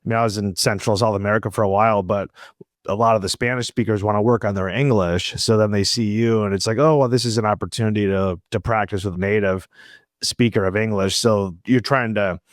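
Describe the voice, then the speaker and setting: A man's voice: lowish voice, fairly even intonation, brisk, no room sound, studio quality. A man hosting a podcast, chatting casually and informally with plenty of "like" and "you know". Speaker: podcast host. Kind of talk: casual chat.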